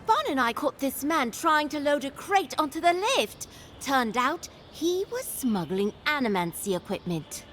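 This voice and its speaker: A woman playing a brisk, officious and wary guard in a video game. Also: sits high, very animated, a bit bright, soft background music, full character voice.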